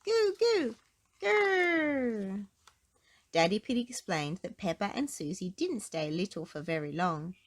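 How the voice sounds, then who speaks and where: A woman reading a storybook aloud to children in an expressive narrating style. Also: lowish voice, moderate pace, hardly any pauses. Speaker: storybook reader.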